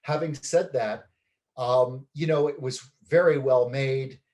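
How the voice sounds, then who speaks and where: Measured man with lots of fillers. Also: medium pitch, fairly even intonation, darkish tone. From Canada. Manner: measured, many fillers and hedging pauses. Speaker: guest, documentary film director. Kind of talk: reflective interview answers.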